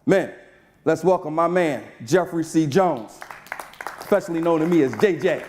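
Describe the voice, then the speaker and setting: A man's voice: fairly high pitch, moderate pace, a little mellow, a faint crowd, slight background noise. A man hosting a men's breakfast show, upbeat and conversational, who reads the guest's bio and then keeps asking "right?" as he talks. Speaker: host. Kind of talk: casual interview. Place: event room with a live audience.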